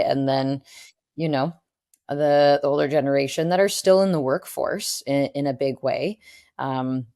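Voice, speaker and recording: A woman's voice: low-pitched, warm tone, no echo, studio quality. A woman hosting a podcast interview, talking casually with filler words. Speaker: podcast host. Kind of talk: conversational interview.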